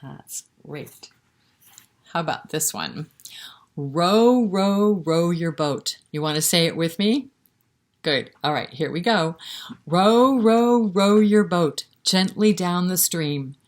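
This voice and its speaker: A woman with a fairly low voice reads for a children's storytime. She speaks straight to young listeners, encouraging them to join in, with a rhythmic feel suited to nursery rhymes. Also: lively intonation, takes it slowly. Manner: encouraging, interactive, talking directly to young children. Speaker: children's library storytime reader.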